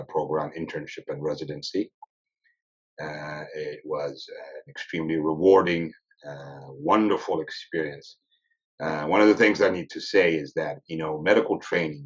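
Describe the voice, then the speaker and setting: Very deep-voiced man, measured. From Ethiopia. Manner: measured, deliberate, often pausing mid-sentence. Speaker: sleep medicine physician guest. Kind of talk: interview answers. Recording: dull lo-fi recording.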